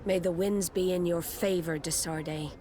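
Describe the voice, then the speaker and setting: Lady doing no-nonsense ship admiral, lowish voice, cool and curt, game scene w noisy background. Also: steady tone, a little slow, mellow, lightly acted. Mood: measured approval. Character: pragmatic, authoritative female admiral of seafarers. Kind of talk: dramatic video game dialogue.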